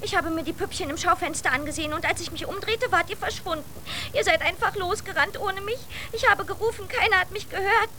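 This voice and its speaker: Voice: very high voice, quick pace, hardly any pauses, bright, forceful tone, a little hiss or hum, full character voice. A female performer playing a plaintive, earnest little princess girl in a German children's radio drama.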